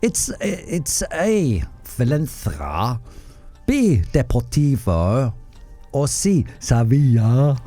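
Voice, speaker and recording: A male quiz show host with a mellow, relaxed voice. He reads out football questions and trades banter in a playful, mock-officious way, keeping a medium pitch. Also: very slow, faint music behind.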